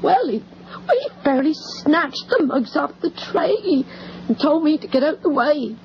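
An actress playing a distraught, loyal old English housekeeper in a radio horror drama, tearful and faltering, on an old recording. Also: female voice, high-pitched, very animated, a little slow, highs cut off, background noise, exaggerated voice. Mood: tearful, regretful. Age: older. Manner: tearful, faltering, repeating herself.

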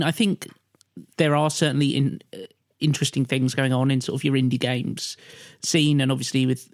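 A man's voice: medium pitch, no echo, studio quality. A man in a casual podcast discussion, talking in a rambling way with lots of hesitant asides. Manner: hesitant, rambling, frequent you-know and like. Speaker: podcast panelist.

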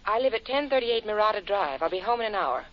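An actress playing an insistent young woman calling on the telephone in a 1950s radio drama, speaking hushed. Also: medium pitch, lively intonation, quick pace. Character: urgent young woman with a secret. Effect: telephone line. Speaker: caller. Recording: tinny phone call in an old broadcast.

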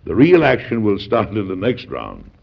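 A low, very animated voice of a stately American president, formal and wily with good humor. It is classic radio drama acting on a muffled old broadcast recording. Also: male voice, moderate pace, vocal fry, breathy edge, full character voice. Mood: jovial, relaxed.

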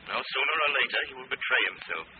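An actor playing a mysterious unseen avenger who makes formal, commanding, ominous pronouncements in a 1940s radio drama. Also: male voice, medium pitch, animated, fast talker, lightly acted. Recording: tinny, noisy vintage radio recording.

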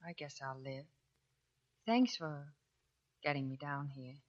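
An actress playing a tender, wounded woman saying farewell in a 1940s radio drama, soft and halting. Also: sits low, lively intonation, slight background noise. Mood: gentle, weak. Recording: dull, distant 1940s radio broadcast.

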